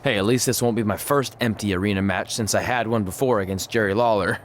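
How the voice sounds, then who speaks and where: An actor playing a cocky, wisecracking champion wrestler in a video game, flippant and boastful. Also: male voice, fairly low pitch, fairly even intonation, fast, close to an everyday voice. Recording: faint background noise.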